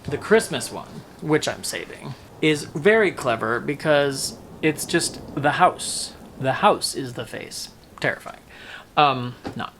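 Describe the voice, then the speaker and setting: A man's voice: mid-range voice, expressive, slow, gravelly, a bit bright, a little hiss or hum. A man talking casually as a book-review vlogger, with a wry, playful, emphatic delivery that draws out words. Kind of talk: casual, chatty book wrap-up.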